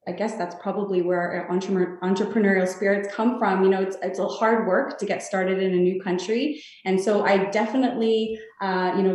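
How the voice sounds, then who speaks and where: A woman in her thirties from Toronto, a real estate guest giving earnest, halting, rambling answers in a podcast interview. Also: medium pitch, darkish tone. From Canada (Toronto). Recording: very echoey room, distant mic.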